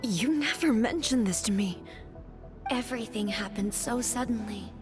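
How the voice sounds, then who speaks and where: A woman's voice: fairly high pitch, moderate pace, natural voice. A woman playing a solemn, reserved immortal female ruler in a game, speaking in a stern, restrained and formal way. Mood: hurt, subdued. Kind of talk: dramatic game voice acting. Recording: game music behind the voice. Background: music.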